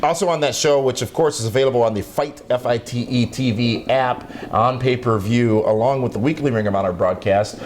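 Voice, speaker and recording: A man's voice: a little fast, few pauses, slight background noise. A man hosting a wrestling talk show, running through the news and needling his co-host. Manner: teasing, roasts his co-host, pitches the show to viewers.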